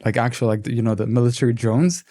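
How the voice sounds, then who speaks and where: Mellow-voiced young man from Lebanon. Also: medium pitch, fast talker. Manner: reflective storyteller, relaxed and candid. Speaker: podcast guest, entrepreneur. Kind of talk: casual interview talk.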